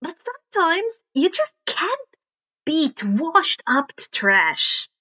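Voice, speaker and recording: A woman voicing an excitable, bubbly teenage inventor girl in an audio story. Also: very high voice, very slow, lo-fi sound, voice pushed up, heavily acted. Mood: cheerful.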